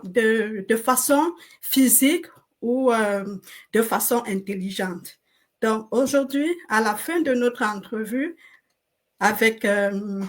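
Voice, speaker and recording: Slow, hesitant woman hosting a live interview. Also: on the high side. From Cameroon. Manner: slow and deliberate, with hesitant fillers. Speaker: host.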